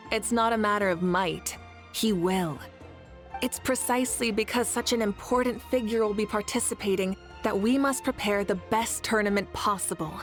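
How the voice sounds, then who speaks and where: A woman's voice: medium pitch, moderate pace, close to an everyday voice. Woman voicing a playful, confident ruler. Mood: assured. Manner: warm teasing, laughs easily. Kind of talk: game voice acting. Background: faint game music.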